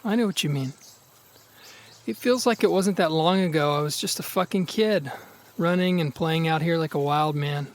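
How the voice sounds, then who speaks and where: Man acting high-voiced, reflective brother. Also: normal speed, close to an everyday voice. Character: reassuring, reflective adult brother, sentimental and steady. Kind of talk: naturalistic audio drama dialogue.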